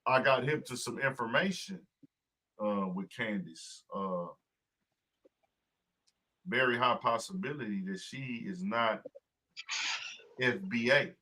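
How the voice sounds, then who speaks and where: A man hosting a casual podcast, his voice bright and forceful. He talks emphatically and profanely and keeps the conversation animated. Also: medium pitch, slow, pauses often, rough recording. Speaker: podcast host.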